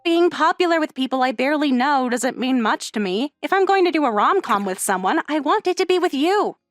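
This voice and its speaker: A woman playing a poised, sheltered high school girl in an anime-style comic dub, speaking with composed, polite earnestness. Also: animated, brisk, no echo, soft background music. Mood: confident, sincere.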